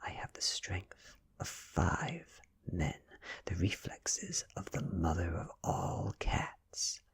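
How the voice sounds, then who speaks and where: A man with a British accent reading a story in a hushed, deliberate ASMR style, mostly whispered, close to the microphone. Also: low voice, very animated, gravelly, breathy edge. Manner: hushed, deliberate, lingering between short phrases. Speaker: audiobook reader.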